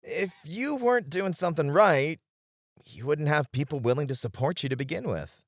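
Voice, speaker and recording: A man playing a flirty, supportive club host in an ASMR roleplay, speaking in a gentle, reassuring way. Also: fairly high pitch, lively intonation, normal speed, no room sound, studio quality. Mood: earnest reassurance. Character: flirty, supportive male club host comforting his friends.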